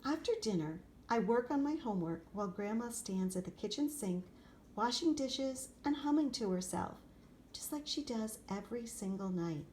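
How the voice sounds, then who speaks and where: A woman reading a children's picture book aloud expressively, in short, deliberate phrases. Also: on the high side, lively intonation, dark tone. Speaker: storybook reader. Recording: clear background noise, room ambience.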